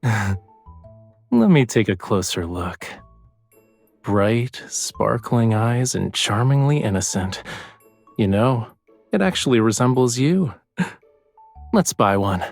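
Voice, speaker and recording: Husky, teasing young romantic lead. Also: male voice, on the low side, lively intonation, slow pace, long pauses, no echo, faint music behind, exaggerated voice. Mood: teasing, amused.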